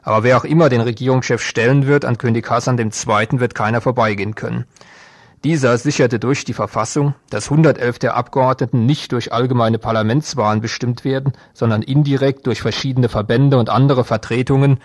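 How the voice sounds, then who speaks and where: A man's voice: mid-range voice, fairly even intonation, brisk, no echo, clean studio sound. A man reading a scripted news report as a reporter.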